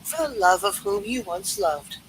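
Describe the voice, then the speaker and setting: A performer playing a sorrowful young fiancée, speaking earnestly and deliberately in an amateur play reading. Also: mid-range voice, animated, fairly bright tone, breathy edge, rough recording. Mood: resigned farewell. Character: sorrowful young fiancée gently ending her engagement.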